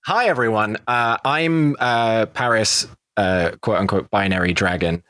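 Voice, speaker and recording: British man, low register, relaxed podcast chat among castmates, very dry recording. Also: a little slow, no echo. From Britain. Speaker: podcast cast member, actor and producer. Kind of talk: casual podcast round-table chat.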